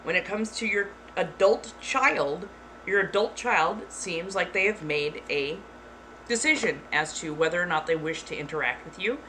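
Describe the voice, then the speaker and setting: Blunt, deliberately monotone woman streamer. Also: fairly low pitch, poor audio. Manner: frank, swears casually. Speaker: streamer answering chat. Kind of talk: casual live-stream chat. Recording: clear background noise.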